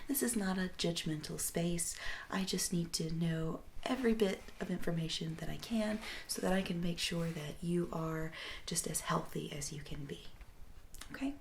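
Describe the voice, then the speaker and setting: A woman speaking softly and breathily, often near a whisper, as a doctor gently asking unhurried questions in an ASMR roleplay. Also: fairly low pitch, creaky, slight background noise. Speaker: ASMR performer playing a doctor. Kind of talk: soft-spoken ASMR medical roleplay.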